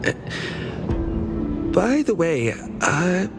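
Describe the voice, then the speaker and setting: A performer voicing a hesitant, dazed young traveler in a video game, halting and unsure, with music behind. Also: mid-range voice, sing-song, gravelly, exaggerated voice. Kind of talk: scripted video game dialogue.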